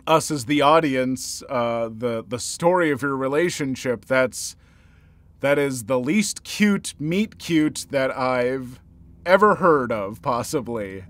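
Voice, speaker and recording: A man hosting a podcast, reading listener stories aloud and adding his own comments, with expressive swings between loud and hushed delivery. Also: a little high, no room sound.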